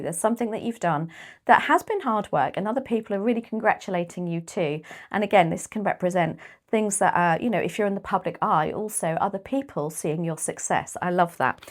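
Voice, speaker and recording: A woman with a British accent giving a casual tarot reading, chatty and rambling, her voice a little croaky and often clearing her throat. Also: medium pitch. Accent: British English. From United Kingdom. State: slightly croaky voice. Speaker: tarot reader.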